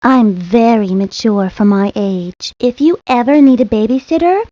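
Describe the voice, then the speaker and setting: Woman doing a bossy, highish-voiced little girl. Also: moderate pace, warm tone, no room sound, lightly acted. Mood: self-assured. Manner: confident, prim, self-important. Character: bossy, responsible little girl, self-important and motherly. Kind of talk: video game character monologue. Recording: dry, lo-fi 1990s game audio.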